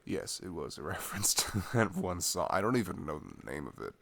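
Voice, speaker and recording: A man playing an affectionate, playful boyfriend in an ASMR roleplay, talking softly and intimately. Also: deep voice, very animated, vocal fry, heavily acted. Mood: playful, tender. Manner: soft, unhurried, intimate, chuckling. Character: affectionate, playful, sleepy-morning boyfriend, cuddly and sweet.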